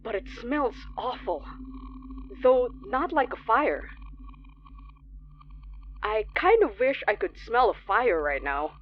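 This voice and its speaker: A high, very expressive voice of a frightened radio DJ in a horror drama. The delivery is slow, shaky and halting. The sound is dull and slightly noisy. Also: female voice. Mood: shaken, dread. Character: frightened young radio DJ trapped overnight in horror. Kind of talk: horror audio drama acting.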